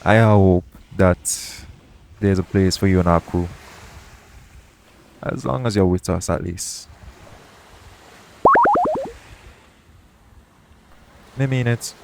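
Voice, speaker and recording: A shady pirate captain, played by a man, speaks low and close to the listener in an audio roleplay. He is jaded but tender underneath, with frank, folksy phrasing and a teasing edge. A noticeable bed of ambient noise sits behind his voice. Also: measured pace, long pauses. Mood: gentle, sincere. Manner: colloquial dialect grammar, gently teasing, frank. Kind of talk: intimate audio roleplay acting addressed to the listener. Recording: clear background noise, ambient sound bed.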